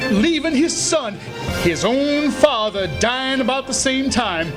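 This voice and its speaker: A man playing a weepy, pompous con man who poses as a wronged duke, melodramatic and halting in live radio theatre. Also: very high voice, heavily acted. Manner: melodramatic, halting, sobbing and chuckling. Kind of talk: live old-time radio theatre acting. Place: live stage before an audience. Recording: live stage radio play, music behind.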